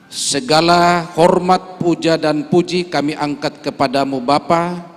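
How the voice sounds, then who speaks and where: A man's voice: fairly high pitch, fairly even intonation, a little slow. A man from Toraja, a church leader, giving an earnest speech and then a solemn prayer at a Christmas service. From Toraja, Indonesia. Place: large church hall. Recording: long hall reverb.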